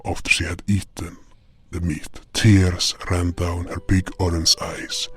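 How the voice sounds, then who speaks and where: A man's voice: low-pitched, flat delivery, a little slow. A man reading scripted first-person fantasy narration as a storyteller. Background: music.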